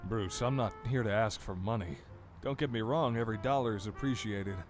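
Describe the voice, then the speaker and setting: Mayoral candidate for audio drama, male actor: lowish voice, sincere, polished, persuasive, music underneath. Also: natural voice. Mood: earnest, persuasive. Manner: sincere, measured, politician's polish.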